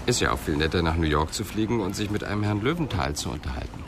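Sober police investigator, low voice, dry, probing, radio drama, clear street noise behind. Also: male voice, expressive, fast talker. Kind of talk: German radio crime drama acting. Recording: noisy outdoor traffic background.

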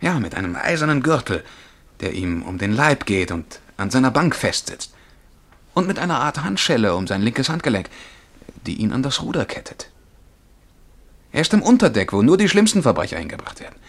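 An actor playing a dreamy, excitable young male bank clerk and would-be poet in a German radio play, fervent and absorbed as he describes things vividly. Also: mid-range voice, normal speed. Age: young adult.

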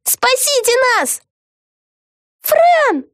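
A woman voicing a high-strung young witch girl in a children's story, shrill, dramatic and exclaiming. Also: very animated, moderate pace, no room sound, clean studio sound. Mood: panicked.

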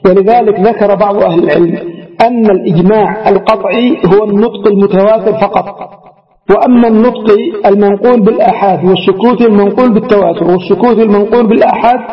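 A man's voice: high-pitched, steady tone, fast talker. A man teaching religious studies, lecturing through a classical text line by line in a didactic way, restating and explaining each phrase. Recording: reverberant hall, dull lo-fi radio-like sound.

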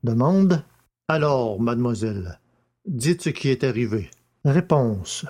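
Slow, expressive man reading interrogation questions. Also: mid-range voice. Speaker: reader of magistrate's questions. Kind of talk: deliberate dramatised audiobook reading.

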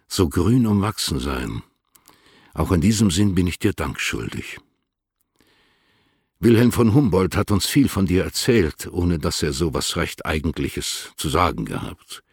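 A dignified older poet delivers his correspondence in a radio drama. His voice is very deep and husky, recorded dry and close. He is courteous, formal and stately, with measured phrasing. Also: no room sound, full character voice. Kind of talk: literary radio drama letter monologue.

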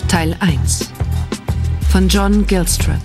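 A woman announcing the titles of a radio play in a measured, scripted style. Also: on the low side, steady tone, music in the background.

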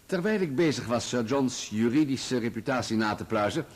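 Husky, self-righteous journalist witness. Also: male voice, medium pitch, expressive. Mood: assured. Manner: formal, measured, assured. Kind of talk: radio play courtroom acting.

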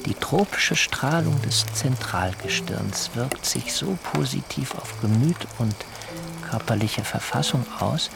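A man playing an earnest, shy young idealist and sun worshipper in a German radio drama, speaking in formal, high-flown phrases. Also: animated, measured pace, gravelly, loud music behind the voice. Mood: fervent. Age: young adult.

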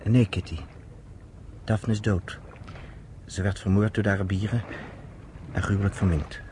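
An actor playing a man who recounts history to a woman friend, in measured, explanatory radio-play narration, on a noisy old recording. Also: fairly low pitch, fairly even intonation, mellow, natural voice. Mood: grave.

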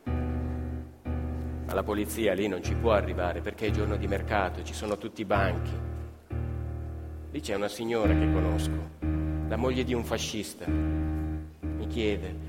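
An actor playing an Italian factory worker, telling of strikes in a colloquial, theatrical radio monologue. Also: male voice, on the low side, fairly even intonation, a little slow, pauses often, lightly acted. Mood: confiding. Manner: colloquial, dramatic, acts out remembered dialogue. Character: fiery Italian factory worker recounting strikes and police charges. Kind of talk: theatrical monologue in a live radio play with music. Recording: live music under the voice. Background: live musical instruments.

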